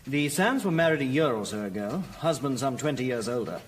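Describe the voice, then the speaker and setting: An actor with a British accent playing a keen, deferential police subordinate in a radio drama, questioning and a little wry. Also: male voice, mid-range voice, lively intonation, fairly quick, a little hiss or hum, natural voice. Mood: matter-of-fact. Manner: eager, questioning, slightly wry. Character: deferential male police subordinate, keen, doubting, drily cheeky.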